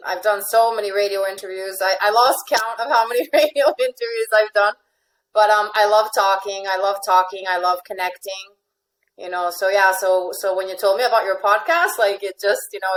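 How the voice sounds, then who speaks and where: A woman's voice: medium pitch, fairly bright tone, low-quality recording. A chatty woman with an American accent, giving casual interview answers as a guest coach, often repeating her phrases. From born in Finland, grew up in Venezuela.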